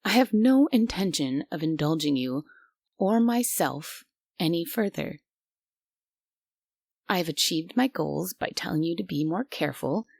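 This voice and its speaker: A woman's voice: low-pitched, vocal fry, a little mellow, dry recording, studio quality, heavily acted. A woman playing a strict, stubborn guardian angel who is bossy, prim and grudgingly caring, in an immersive roleplay audio drama. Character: strict, stubborn but secretly kind female guardian angel.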